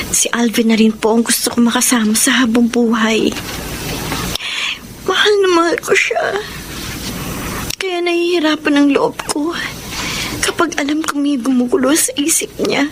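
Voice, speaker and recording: A woman's voice: fairly high pitch, measured pace, pauses often, background noise, natural voice. An actress in a Filipino radio drama playing an earnest, devoted young girlfriend who is easily hurt, with a pleading, emotional delivery. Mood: earnest devotion.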